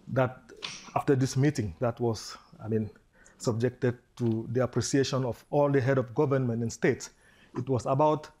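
A man's voice: medium pitch, darkish tone. A man, giving an earnest public talk as a scientist who strings his points together with "so" and "and then". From Cameroon. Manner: earnest, builds up with frequent "so" and "and then".

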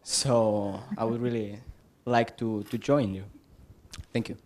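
A man's voice: lowish voice, fairly even intonation, slow, darkish tone. A man, a student, introducing himself in a friendly, slightly nervous way. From Spain. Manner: friendly, a bit nervous, laughing.

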